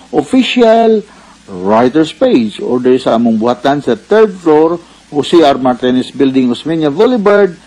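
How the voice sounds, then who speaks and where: A man's voice: fairly high pitch, dark tone, poor audio. A man with a Cebuano Filipino accent presenting a radio advice program as its doctor host, explaining things conversationally.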